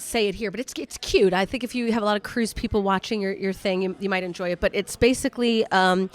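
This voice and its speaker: A woman guest comedian telling stories in casual, animated chat, talkative and exuberant. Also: mid-range voice. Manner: exuberant, self-confessed "a lot", talkative. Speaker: guest comedian and cruise ship entertainer.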